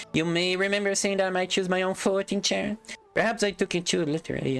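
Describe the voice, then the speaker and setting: A man's voice: high voice, fairly bright tone, clean tone, voice pushed up. A man voicing a small, fussy hobbit who is primly indignant.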